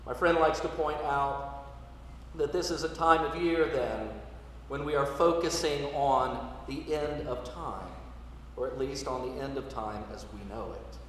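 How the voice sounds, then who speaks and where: A male priest giving a sermon in measured, explanatory short phrases. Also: medium pitch, steady tone. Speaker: priest preaching. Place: large reverberant church. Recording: echoey church, distant mic, noisy.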